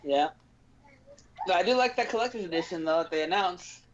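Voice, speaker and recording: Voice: medium pitch, animated, a little fast. A podcast host talking casually and emphatically about gaming news, ranting at length. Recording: dull lo-fi stream audio.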